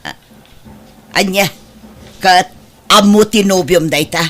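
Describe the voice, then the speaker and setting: A woman's voice: medium pitch, slow, fairly bright tone. A woman playing a concerned, plain-spoken older village mother in an audio drama, with an Ilocano Filipino accent. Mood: nosy. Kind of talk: melodramatic radio-style drama acting.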